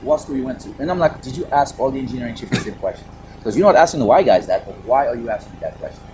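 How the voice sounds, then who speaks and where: A man's voice: mid-range voice, animated, rapid-fire, noisy background. A man with a Haitian accent, speaking as a panelist on a video call, passionate and emphatic with rhetorical questions. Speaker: panelist, Air Force operations director. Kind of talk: virtual panel discussion.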